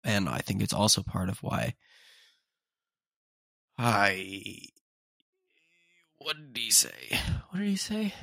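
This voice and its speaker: A man's voice: fairly low pitch, wildly swooping pitch, slow pace, gravelly, pauses often, sharp tone, no room sound, clean studio sound. A young man hosting a casual solo podcast, sounding congested and sniffly as he chats in a rambling, self-deprecating way. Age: young adult. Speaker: podcast host.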